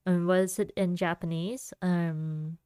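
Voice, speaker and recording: A woman's voice: fairly low pitch, steady tone, measured pace, warm tone, clean tone, no room sound, clean studio sound. A young woman hosting a casual solo chat. She rambles with many fillers and giggles often. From United States. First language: Vietnamese. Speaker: podcast host.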